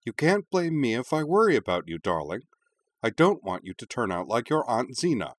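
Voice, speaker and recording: A man voicing a fretful, disapproving older mother in an audiobook, with a shrill, nagging manner. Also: huge pitch swings, moderate pace, a little mellow, no room sound, studio quality. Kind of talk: audiobook character voice.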